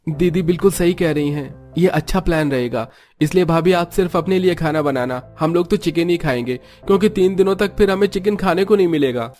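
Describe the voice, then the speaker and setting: A man's voice: fairly high pitch, fairly quick, a little mellow, soft background music, lightly acted. A man voicing a younger, food-loving family member who makes casual demands in a cartoon story. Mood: demanding. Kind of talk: Hindi cartoon story dialogue.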